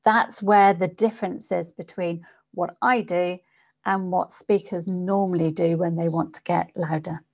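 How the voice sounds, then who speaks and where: A woman speaking as a voice coach in an interview, explaining technique in a deliberate, instructive way. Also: fairly low pitch, fairly even intonation, highs cut off. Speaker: guest voice coach for public speakers. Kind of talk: explanatory interview talk with demonstrations.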